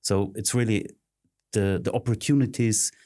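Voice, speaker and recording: A middle-aged man from Switzerland, answering interview questions in a halting way with many ums and uhs. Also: on the low side, fairly even intonation, mellow. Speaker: interview guest, search consultant. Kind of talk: conversational interview.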